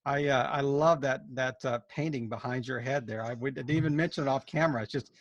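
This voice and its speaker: A man's voice: medium pitch, no room sound, highs cut off. Reflective male host, dry sound. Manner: reflective, warm-hearted, with halting restarts. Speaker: podcast host. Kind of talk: casual interview chat.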